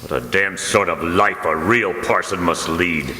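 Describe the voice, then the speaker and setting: A man acts out a weary con man posing as a parson in a radio mystery. He is sardonic and bitter, with a low, forceful voice in an echoey hall with faint noise. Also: measured pace. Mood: bitter, fed up. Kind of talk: stage radio mystery acting.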